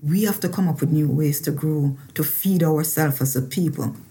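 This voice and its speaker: A woman's voice: sits low, moderate pace, warm tone. A woman from Jamaica with a Jamaican accent, a farmer and researcher talking personally in an interview and repeating phrases for emphasis.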